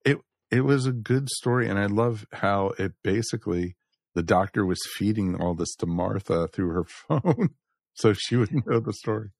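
A man's voice: lowish voice, normal speed, gravelly, a little mellow, no echo, studio quality. A man chatting casually and agreeably as a panelist on a review podcast.

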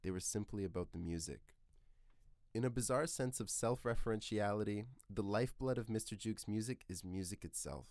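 Man, podcast narrator, low voice, dry recording, scripted, literary, earnest. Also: a little fast, a little mellow, dry recording. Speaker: podcast host.

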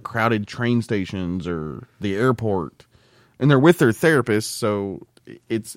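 A man co-hosting a podcast in casual, joking banter, rambling and halting as he explains things. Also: on the low side, no echo, studio quality. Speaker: podcast co-host.

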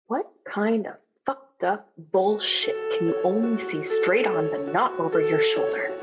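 A woman's voice: mid-range voice, a little slow, loud music behind the voice, close to an everyday voice. An actress playing an anxious, haunted young woman keeping an audio journal in a found-footage drama, introspective and uneasy. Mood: uneasy, paranoid.

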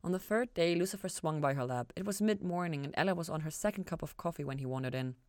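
A woman narrates fan fiction like an audiobook. Her voice is notably low in pitch, and she reads scripted prose in a dry recording with little room sound. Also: fast, dry recording. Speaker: podcast host reading fan fiction.

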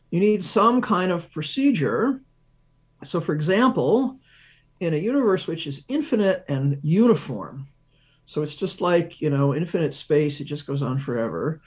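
A man's voice: on the high side, darkish tone. A man speaking as an expert interview guest, explaining science step by step, thinking aloud and answering his own questions with examples. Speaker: research professor, interview guest.

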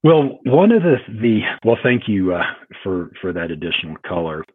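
Deep raspy guy hosting an interview podcast, kinda halting, sounds like hes on a phone. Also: sing-song. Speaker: podcast host. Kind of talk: conversational interview. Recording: tinny phone-like line.

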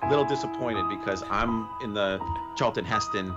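Male podcast co-host, New York accent. Lowish register, jokey and meandering delivery, slightly muffled lo-fi sound. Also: over loud music. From New York, United States. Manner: halting, rambling, jokey storytelling. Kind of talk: casual podcast chat.

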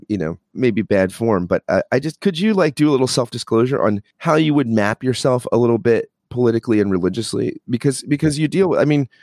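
A man's voice: mid-range voice, darkish tone, no room sound, clean studio sound. A man hosting a podcast, asking rambling, joking questions full of fillers. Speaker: podcast host. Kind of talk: podcast interview.

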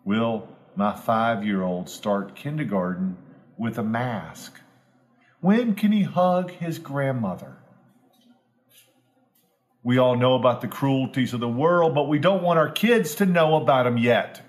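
Slow, earnest pastor in his forties. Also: medium pitch, lively intonation. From Southwest Virginia, US. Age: 40s. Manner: earnest, homespun, building to emphatic repetition. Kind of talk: reflective devotional talk.

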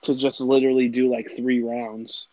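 A man's voice: medium pitch, flat delivery, moderate pace, warm tone, dry recording. Guy cohosting a podcast, swears a lot, bro slang, dry slightly dull audio. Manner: slangy, says "bro" often. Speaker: podcast co-host. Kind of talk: casual, profane banter.

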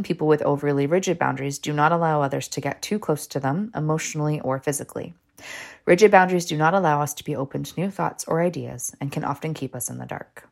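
A woman with a stuffed-up cold, hosting a training podcast and reading a scripted talk in a calm, measured way, slowing to stress key terms. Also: low voice, vocal fry. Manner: calm, measured explanation with slow, deliberate emphasis on key terms.

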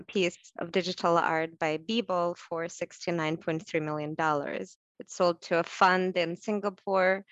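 A woman chats animatedly as a guest, tossing questions back to the others. Her voice is a bit low for a woman. The recording is dull and muffled, with a dry, close sound. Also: no room sound. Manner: chatty and animated, poses questions. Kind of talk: conversational interview.

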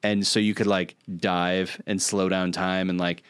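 Low-voiced man, emphatic podcast co-host. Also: flat delivery, measured pace, fairly bright tone, clean tone, dry recording, studio quality. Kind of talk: casual chat about video game movies.

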